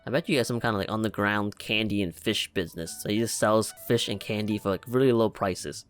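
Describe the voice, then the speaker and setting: A man's voice: lowish voice, fairly quick, smooth. A man giving casual, joking, slangy reaction commentary over gameplay. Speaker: gaming video creator. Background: game music.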